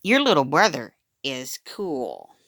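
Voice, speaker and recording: A woman's voice: rumbling low, huge pitch swings, slow pace, a bit bright, no echo, studio quality, heavily acted. A woman voicing a curious, chatty third-grade girl in a children's storybook, direct and friendly in manner. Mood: frank, friendly.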